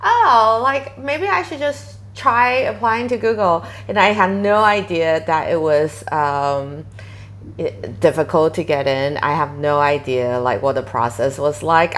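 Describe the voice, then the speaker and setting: Interview guest: woman, 30s, low voice, chatty and full of fillers. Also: lively intonation, unhurried. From Taiwan. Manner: chatty, many fillers, quoting herself animatedly. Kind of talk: casual interview conversation.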